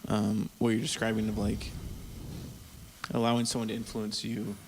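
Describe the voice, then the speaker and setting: A man talking as a musician in a casual group conversation, thoughtful and hesitant, with a gravelly voice. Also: lowish voice, completely flat delivery, moderate pace, a little hiss or hum. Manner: thoughtful, hesitant, soft-spoken. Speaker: musician guest.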